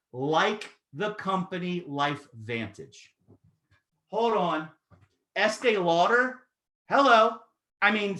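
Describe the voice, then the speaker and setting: Man, 30s, motivational sales presenter; high, bright voice with a roomy echo. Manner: energetic, persuasive, talks straight to the audience. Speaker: network marketing presenter.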